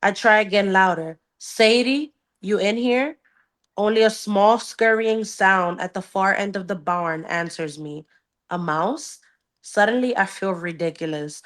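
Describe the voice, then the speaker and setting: A woman's voice: mid-range voice, unhurried. A woman podcast host reading a novel aloud and dropping into casual, blunt banter. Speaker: book club podcast host.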